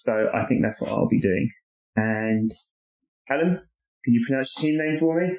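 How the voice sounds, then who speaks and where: A man's voice: medium pitch, moderate pace, a little mellow. A man co-hosting a podcast, chatting casually and confidently with fillers. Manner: confident, lists his picks with many fillers. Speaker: podcast co-host. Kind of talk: casual chat. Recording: lo-fi echoey room.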